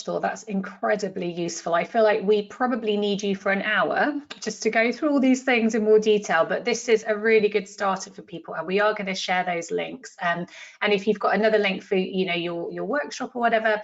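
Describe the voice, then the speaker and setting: A woman's voice: mid-range voice, gravelly. A woman hosting a webinar, thanking and introducing speakers in an encouraging way over a video call. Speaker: webinar host. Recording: muffled video-call audio.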